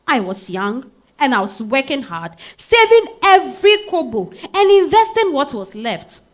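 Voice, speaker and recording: Betrayed, grieving wife played by a woman, Ghanaian accent, very animated high voice, exclamatory stage delivery. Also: full character voice. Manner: theatrical, exclamatory, with drawn-out sighs and interjections. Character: bitter, grieving betrayed wife confronting her husband. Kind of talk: dramatic monologue in a staged reading.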